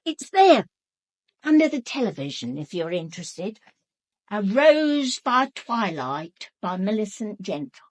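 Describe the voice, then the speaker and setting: Radio drama: blunt working-class housekeeper, chatty, indignant streak, highly animated delivery, dry studio sound. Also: female voice, measured pace, dry recording. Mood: matter-of-fact. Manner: indignant, gossipy, matter-of-fact.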